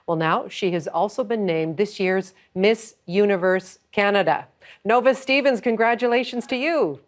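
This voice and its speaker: A woman's voice: medium pitch, normal speed. A woman hosting a broadcast interview, asking questions in a conversational way with frequent restarts. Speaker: radio interviewer.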